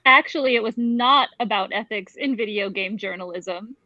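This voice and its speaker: A woman's voice: mid-range voice, normal speed, sharp tone, dry recording, muffled recording, voice pushed down, lightly acted. A woman voicing an earnest, assertive professional woman in an amateur dramatic reading of a novel. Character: earnest, determined young professional woman employee.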